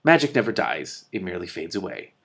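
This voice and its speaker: A man reading a book aloud expressively as host, breaking in with his own animated, off-the-cuff commentary. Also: low-pitched, fairly quick, vocal fry, breathy edge.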